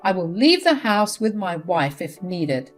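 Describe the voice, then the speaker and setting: Fed-up grown son cutting his mom off, blunt and firm, woman reading it, quiet music under. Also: lowish voice, big pitch swings, a little slow.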